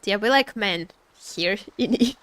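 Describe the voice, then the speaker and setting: A woman's voice: medium pitch, unhurried, fairly bright tone, a little hiss or hum. A woman game streamer chatting casually with viewers in a playful, teasing way as she reads and answers their comments. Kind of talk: casual livestream chat with gaming commentary. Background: background music.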